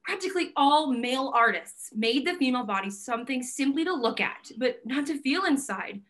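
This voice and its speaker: A woman's voice: high-pitched, animated, moderate pace, fairly bright tone. A woman playing a fiery, idealistic gallery owner in a staged play reading, speaking assertively and emphatically. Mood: proud, firm. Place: plain indoor room, video call.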